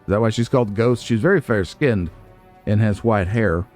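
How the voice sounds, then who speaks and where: A man hosting a let's play with casual, musing commentary, as if thinking out loud to himself. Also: lowish voice, normal speed, faint music behind. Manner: musing, nitpicking, talks to himself.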